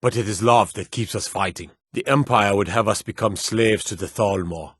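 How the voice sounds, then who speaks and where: Stern fantasy war soldier in a game. Husky edge, dry close sound, formal commanding phrasing. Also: male voice, lowish voice, moderate pace, a bit bright, dry recording. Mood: solemn, rousing. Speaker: video game voice actor. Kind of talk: scripted video game voice acting.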